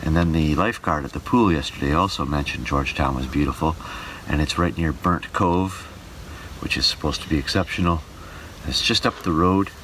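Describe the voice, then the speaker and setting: A man with a low, deep voice talks through his travels in a casual, unscripted vlog. He is chatty and reflective. The recording has clear background noise from being made on the go. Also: normal speed, fairly bright tone. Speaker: radio show host and touring performer filming a travel documentary. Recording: clearly noisy outdoor and vehicle recording.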